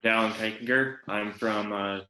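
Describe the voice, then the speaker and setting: A young Western Canadian man gives a student presentation in an echoey room. His voice is low and fairly monotone. He pauses often and sounds hesitant as he goes. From Alberta, Canada. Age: young adult.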